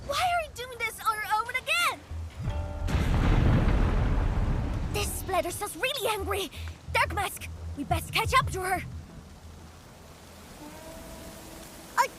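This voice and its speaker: A woman's voice: very high voice, animated, fairly quick. A woman voicing a brash, feisty young feline girl in a video game, cheeky and blustering. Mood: urgent. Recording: loud game music behind the voice.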